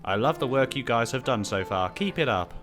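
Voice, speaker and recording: Guy reading out viewer questions, dry teasing chat, mid pitch, guitar music behind. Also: animated, a little fast. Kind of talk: casual Q&A chat with friends.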